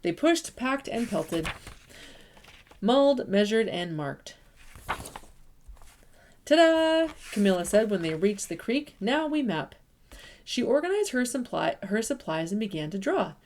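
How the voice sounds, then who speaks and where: A woman reads a children's picture book aloud, her voice at a middle pitch. She tells it expressively, leaning into the rhythm and sound of the words for young listeners. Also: moderate pace, slight background noise. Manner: warm, expressive storytelling with lively emphasis. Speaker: children's story reader.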